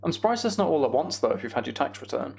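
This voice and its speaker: A man's voice: mid-range voice, big pitch swings, quick pace, gravelly, darkish tone, a little hiss or hum. A man livestreaming a game in casual chat, musing aloud and replying to viewers as he goes. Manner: musing aloud, reads and answers viewer comments. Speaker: live streamer.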